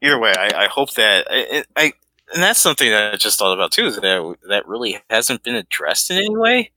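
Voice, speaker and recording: Animated, bright-voiced man chatting on a podcast. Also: fairly low pitch, brisk, no room sound. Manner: jokey, laughs often. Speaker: podcast co-host. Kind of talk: casual fan discussion.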